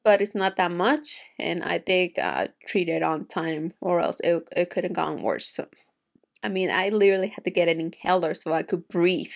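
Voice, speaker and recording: A woman's voice: lowish voice, moderate pace, gravelly. A young woman chatting casually to camera from her home room as an anime reaction host, rambling with restarts, still recovering from an illness with a slight cough, speaking into a desk mic. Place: home room with shelves of figures and manga. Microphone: desk mic on boom arm in front.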